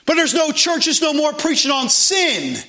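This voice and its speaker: High-pitched, forceful man preaching. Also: very animated, measured pace. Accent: American. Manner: emphatic, exhorting, repeats phrases for emphasis. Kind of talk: fervent sermon with scripture readings.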